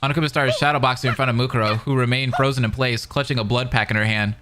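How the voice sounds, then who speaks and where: A man's voice: medium pitch, fairly even intonation, racing. A man hosting a reaction video, reading visual novel narration aloud with casual, slangy commentary. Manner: informal, slangy asides.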